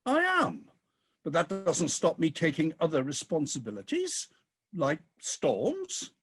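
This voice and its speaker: A man's voice: on the high side, wildly swooping pitch, a little slow, breathy edge, full character voice. An actor playing a weary, disillusioned ageing king of the gods in a comic radio play, with a grumbling, theatrical delivery.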